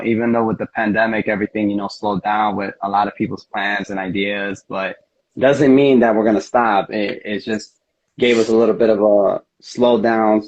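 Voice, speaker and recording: A man speaking casually as a fighter being interviewed, telling things in an earnest, rambling way. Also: fairly low pitch. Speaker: MMA fighter, interview guest. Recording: dull lo-fi audio.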